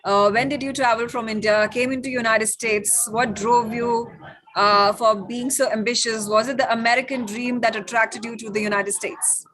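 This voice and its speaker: A woman's voice: a little high, steady tone, normal speed, a bit bright, low-quality recording. Young woman hosting an interview. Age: young adult. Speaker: interview host.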